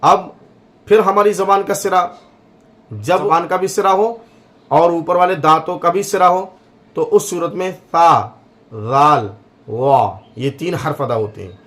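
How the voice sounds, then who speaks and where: A man giving a slow religious lesson on pronouncing letters, repeating each sound to demonstrate it. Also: a little high, a bit bright. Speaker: Quran teacher.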